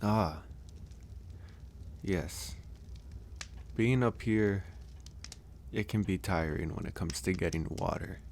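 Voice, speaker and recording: A gentle young male werewolf, acted by a man for an ASMR audio roleplay, speaks in a very low voice at a very slow pace. He is shy and reassuring, hesitating softly between thoughts. There is light background noise. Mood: thoughtful, relaxed. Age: young adult. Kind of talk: ASMR audio roleplay, soft intimate acting.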